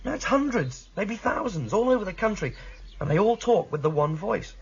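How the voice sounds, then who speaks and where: A man's voice: high voice, big pitch swings, normal speed, slightly rough, exaggerated voice. An actor playing an earnest, questioning young man who rebels against a controlling state in a radio drama. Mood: intense. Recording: slightly dull, noisy radio drama recording.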